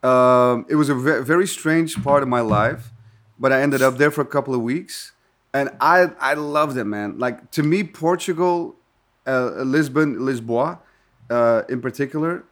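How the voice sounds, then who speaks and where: A man's voice: medium pitch, measured pace, a bit bright. A man whose first language is not English, musing in casual chat, rambling and reflective with many fillers. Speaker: conversation partner.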